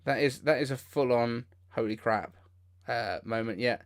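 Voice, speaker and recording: Guy doing live reaction stream, casual chatty commentary, kinda incredulous, normal mid pitch. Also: normal speed. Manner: informal, incredulous exclamations. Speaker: livestream host.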